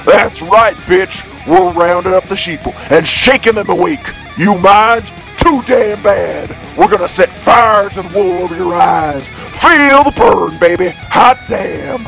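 Brash conspiracy-radio announcer, high gravelly voice, slow swaggering drawl, music underneath. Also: male voice, fairly bright tone, heavily acted. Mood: brash, fired up. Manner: drawling, hollering hype. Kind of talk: over-the-top radio promo.

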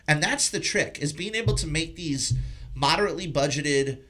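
A man's voice: a little high, lively intonation, moderate pace, a bit bright. A man hosting a casual film discussion, talking informally and teasingly with plenty of swearing. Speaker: podcast host.

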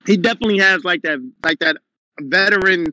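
A man in casual, slangy, argumentative banter as a battle rap commentator, insistent and excitable. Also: high voice, brisk, no echo, low-quality recording.

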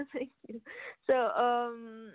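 A young woman from France with a French accent, a violinist guest on a phone interview, speaking English haltingly and searching for words. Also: on the high side, little pitch movement, clear, resonant tone, no echo. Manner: hesitant, searching for words, many restarts. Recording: tinny phone line, dry.

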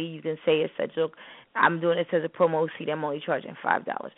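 A woman's voice: fairly low pitch, dry recording. Emphatic woman on a narrowband phone line. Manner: emphatic, instructive, repeats phrases for stress. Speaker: co-host of a spoken word business show; spoken word artist. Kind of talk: opinionated discussion on a call-in show.

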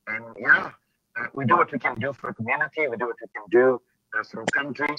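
A hoarse South African man, a pastor, introducing himself on an online panel, with frequent filler pauses. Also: medium pitch, pushed and bright, dry recording, dull audio.

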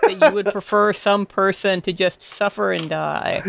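Wry woman with a gravelly voice. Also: mid-range voice, steady tone, moderate pace. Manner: wry, teasing. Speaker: podcast panelist. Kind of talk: casual podcast chat.

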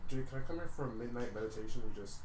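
A man co-hosting a podcast, bantering with friends in an excitable, mock-defensive, jokey way. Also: mid-range voice, racing. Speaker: podcast co-host. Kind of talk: casual banter among friends. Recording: roomy room with clear background noise.

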